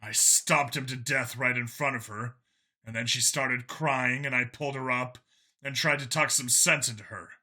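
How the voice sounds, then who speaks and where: A man voicing a jaded, emotionally guarded male cop who talks bluntly and haltingly, questioning himself, in an audiobook story. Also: mid-range voice, steady tone, sharp tone. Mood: troubled, unsure.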